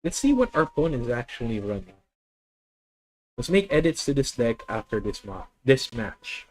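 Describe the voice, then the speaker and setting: A man's voice: mid-range voice, sing-song, normal speed, low-quality recording. A man with a Filipino accent streaming a card game, methodically thinking aloud and correcting himself as he plans each move. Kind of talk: live deck-test commentary.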